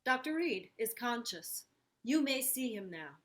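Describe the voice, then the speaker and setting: A composed nurse played by a woman in a 1940s radio drama, politely insistent. The voice is fairly high, and each phrase is slow and deliberate. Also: big pitch swings, a bit bright. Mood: polite, businesslike. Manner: measured, deliberate.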